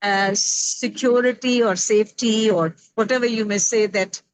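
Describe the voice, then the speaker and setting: A middle-aged woman with an Indian accent speaking on a panel discussion, thoughtful and pausing often to search for words with many fillers. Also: medium pitch, steady tone, low-quality recording. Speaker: roundtable panelist.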